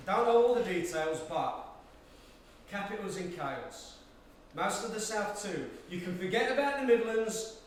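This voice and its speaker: A man's voice: high voice, expressive, normal speed. A man acting an older, coaxing Cockney ex-soldier in a stage play, full of jokey slang and fatherly fussing. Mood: earnest, slangy. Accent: London Cockney. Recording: echoey room, distant mic, faint hiss.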